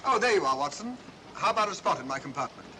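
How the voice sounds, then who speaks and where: An actor playing an affable, quietly shrewd gentleman with a smooth, reasoning manner in an old mystery film. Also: high voice, very animated, fast. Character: urbane, affable, quietly shrewd gentleman, doctor's drinking companion. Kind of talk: 1940s mystery film acting. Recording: hissy old film soundtrack.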